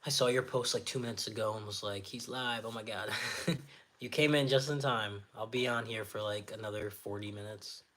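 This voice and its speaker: A man with a naturally raspy, slightly nasal voice, hosting a casual livestream, reading viewer comments aloud and answering them in a friendly, encouraging way, sniffling often. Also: fairly low pitch, normal speed, a bit bright. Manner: friendly, encouraging, chatty with filler words. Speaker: livestream host.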